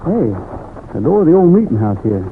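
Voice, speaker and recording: An actor playing a confident young cowboy hero in an old radio western, American accent, plainspoken and take-charge. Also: male voice, on the high side, sing-song, fairly quick. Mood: alert. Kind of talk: 1940s-50s radio western acting. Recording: noisy, tinny old radio recording.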